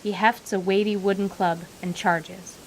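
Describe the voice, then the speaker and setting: A woman's voice: mid-range voice, steady tone, measured pace, background noise. A woman giving dramatic, scripted narration for a fantasy radio play. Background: music.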